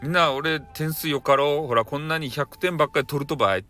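A man's voice: fairly high pitch, fairly quick, bright, forceful tone, soft background music. A man voicing a conceited schoolboy genius in Hakata dialect, boastful and prone to stammering panic.

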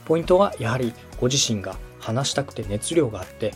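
A man's voice: medium pitch, lively intonation, fast talker, soft background music. A man hosting and advising in a polite, encouraging way, explaining things step by step in a conversational coaching style. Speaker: podcast host and production adviser.

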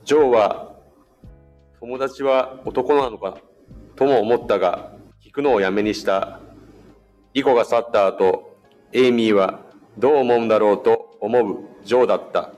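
A man's voice: medium pitch, moderate pace, pauses often, darkish tone, lo-fi sound, faint music behind. A man reading scripted narration for a radio drama.

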